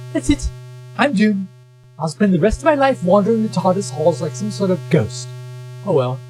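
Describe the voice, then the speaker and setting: A man's voice: high-pitched, animated, a little slow, pauses often, darkish tone, soft background music, low-quality recording. A man playing a wry, wordy young companion in an audio drama, prone to sarcasm and self-pity. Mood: exasperated, self-pitying. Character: sarcastic, self-pitying young male companion, amateur psychoanalyst.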